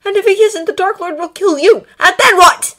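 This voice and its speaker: A woman's voice: very high-pitched, lively intonation, normal speed, a bit bright, pitched above the performer's own voice. Woman voicing a desperate teenage wizard boy. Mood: urgent pleading. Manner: urgent, pleading, raised voice. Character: anxious teenage wizard boy, pleading and insistent.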